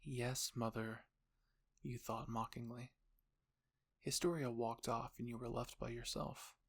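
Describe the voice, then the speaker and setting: Casting: male storyteller, mid-pitched, gravelly edge, scripted second-person narration for audio roleplay. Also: steady tone, measured pace.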